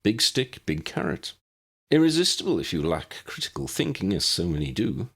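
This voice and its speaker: A man voicing a courteous, bookish man who speaks in a formal, measured and wordy way in an audiobook story. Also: sits low, very animated, breathy edge, full character voice. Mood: measured, apologetic.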